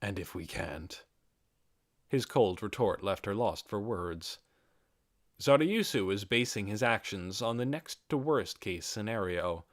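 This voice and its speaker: A man reading a fantasy audiobook as narrator, in a measured and expressive dramatic storytelling style. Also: lowish voice, no room sound, clean studio sound.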